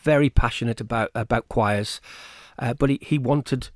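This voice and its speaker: A man's voice: medium pitch, moderate pace, darkish tone, no room sound, clean studio sound. A man speaking as an event organiser in an informal radio interview, repeating words hesitantly as he explains. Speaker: choir festival organiser, interview guest.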